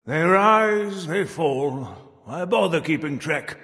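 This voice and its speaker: A man's voice: on the high side, animated, slow pace, fairly bright tone, exaggerated voice. An actor voicing a jovial, cranky ancient Father Time in a video game, with a theatrical, hammy, jokey old-timer delivery. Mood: wry, joking. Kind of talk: video game character voice lines. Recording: long reverb on the voice.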